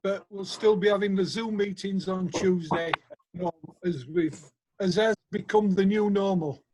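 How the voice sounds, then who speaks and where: Hesitant high-voiced man chairing a meeting. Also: moderate pace, background noise. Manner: hesitant, many uhs, friendly announcements. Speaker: group organiser chairing a meeting. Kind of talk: casual chat. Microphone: video call.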